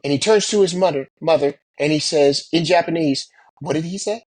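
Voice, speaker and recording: A man's voice: a little high, brisk, a little mellow, no room sound, poor audio. A middle-aged American man telling anecdotes from his own life, speaking emphatically with repeated phrases and rhetorical questions. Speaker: military veteran and pilot sharing experiences. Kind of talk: anecdotal storytelling about personal experience.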